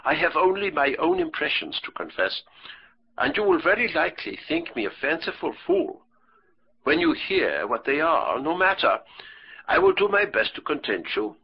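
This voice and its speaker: A mysterious, impatient widow, voiced by a male audiobook reader. She speaks in a raised pitch with formal, old-fashioned phrasing and a slightly husky tone. The recording sounds dull and lo-fi. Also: big pitch swings, normal speed, heavily acted. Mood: resolute. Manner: clipped, earnest, formal old-fashioned speech. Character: pale, intense mysterious widow, impatient and proud. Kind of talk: audiobook character reading.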